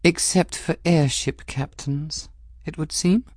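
A man's voice: fairly high pitch, fairly even intonation, takes it slowly, no room sound, studio quality, voice pushed up. A man voicing a poised, scheming aristocratic woman who speaks with cool, cutting mockery. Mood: smooth, persuasive. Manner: poised, cutting, lightly mocking. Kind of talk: audiobook character voice.

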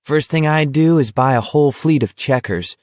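A man's voice: a little high, normal speed, darkish tone, dry recording, pitched above the performer's own voice, natural voice. A man voicing a brash, cocky young man who boasts breezily in audiobook dialogue. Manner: breezy, slangy, emphatic.

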